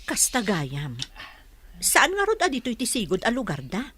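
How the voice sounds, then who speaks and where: Babae na gumaganap na mabait na kapitbahay, buhay na buhay magsalita. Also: female voice, a little high, wildly swooping pitch, moderate pace, a bit bright, slightly rough, exaggerated voice. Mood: sympathetic. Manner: concerned, questioning. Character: kindly, outspoken neighbour woman, concerned for children. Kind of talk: audio drama acting in Ilocano.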